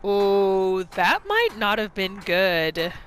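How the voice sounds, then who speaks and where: A woman narrating a role-play gaming video, musing aloud about her character in an expressive, questioning way. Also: mid-range voice, drawn-out words, slight background noise.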